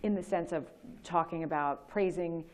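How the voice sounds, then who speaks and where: A woman in her fifties with a deep voice asks questions on a small stage before a live audience. Her tone is curious and conversational, and she often interjects into the guest's answers. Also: moderate pace.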